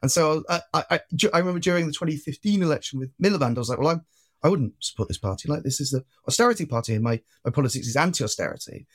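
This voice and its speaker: A young man from Wales with only a faint Welsh accent, talking fast as an interview guest. His voice sits a little high, and he rambles through fillers and self-corrections. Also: animated. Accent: slight Welsh. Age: 20s. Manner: chatty, rambling, full of fillers and restarts. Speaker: guest activist. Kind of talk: casual interview chat.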